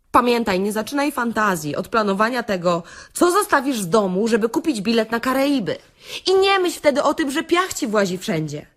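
A woman playing a frank, bossy beautician in an audio play monologue, confiding and wry, with theatrical pauses and an earthy, tender streak. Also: fairly high pitch. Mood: wry, assertive. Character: frank, bossy female beautician parodying a self-help workshop leader, tender and earthy.

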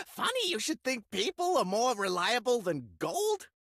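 Woman as a high, brash little greedy male creature. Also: big pitch swings, unhurried, a bit bright, no echo, clean studio sound, full character voice. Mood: smug, grumbling. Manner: brash, taunting, theatrical. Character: small greedy cocky male creature, dinosaur-riding trader. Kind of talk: video game character voice lines.

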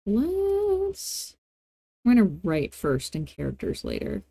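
Female tutorial host, informal and playful, soft-edged mellow tone, dry close-miked sound. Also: mid-range voice, huge pitch swings, takes it slowly, creaky, no echo. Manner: informal, playful, thinking aloud. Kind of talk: casual screen-recorded software tutorial.

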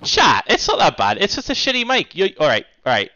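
A man in his thirties talking to his stream audience in a home kitchen, with a lively voice that swings up and down. He swears freely and keeps up a running commentary. The sound is dull and lo-fi, from a basic headset mic. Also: high-pitched, fairly quick, no echo. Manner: animated, swearing, chatting to viewers. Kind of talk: casual live-stream chat.

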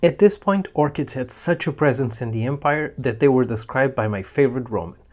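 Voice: mid-range voice, lively intonation, brisk. A presenter reading scripted educational narration about the past. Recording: music behind the voice. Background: music.

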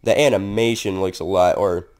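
A young man with a raspy voice hosts a reaction video from his bedroom on a headset mic, talking in a laid-back way with lots of fillers. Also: low-pitched, fairly even intonation, moderate pace, a little mellow, a little hiss or hum. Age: young adult. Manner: laid-back, laughs often, frequent fillers. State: raspy voice today. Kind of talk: casual reaction commentary. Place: bedroom, indoors.

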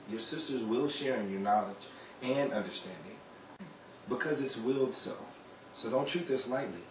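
A man's voice: mid-range voice, echoey room, close to an everyday voice. Man as solemn guiding messenger, exhorting, radio drama, distant mic, noisy recording. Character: solemn, mysterious male messenger giving guidance. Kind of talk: old-time radio drama acting.

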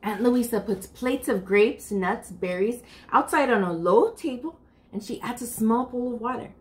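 High-voiced woman reading to children. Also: moderate pace. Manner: expressive, addresses children directly. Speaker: library storytime reader. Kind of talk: children's picture-book read-aloud. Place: furnished room indoors.

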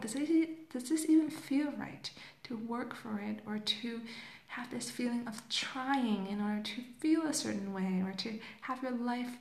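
A woman's voice: fairly high pitch, reverberant. Young woman coaching on a phone video. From Hong Kong, raised in Canada. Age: young adult. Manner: reflective, rambling with many fillers. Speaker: life coach. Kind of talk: casual live video talk. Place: small plain room indoors. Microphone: phone camera at arm's length.

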